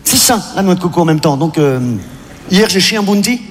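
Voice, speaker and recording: Humoriste homme à voix très aiguë, hésitant, en salle réverbérante avec bruit de fond. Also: male voice, high voice, animated, noisy background. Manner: hesitant, halting with many fillers. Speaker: stand-up comedian. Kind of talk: absurd stand-up monologue. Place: stage before a live audience. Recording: live hall recording aired on radio.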